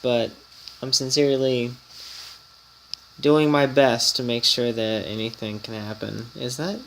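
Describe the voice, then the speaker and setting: A man's voice: medium pitch, vocal fry, fairly bright tone, a little hiss or hum, poor audio. A man hosting a casual mobile game vlog, a bit nasal from an oncoming cold, rambling and chatty as he talks. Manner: rambling, chatty, easily startled. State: slightly nasal from a coming cold. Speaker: gaming channel host and guild leader.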